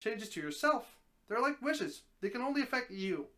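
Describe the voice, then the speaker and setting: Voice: medium pitch, sing-song, moderate pace, a bit bright, pitched above the performer's own voice. A reader voicing an affable, matter-of-fact talking snake. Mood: explanatory.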